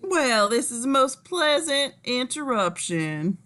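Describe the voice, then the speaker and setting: A woman acts out a genial businessman father in his fifties for an animated film script. The voice is high, bright and animated, effusively welcoming and over-familiar. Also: takes it slowly, full character voice. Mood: pleased, hearty.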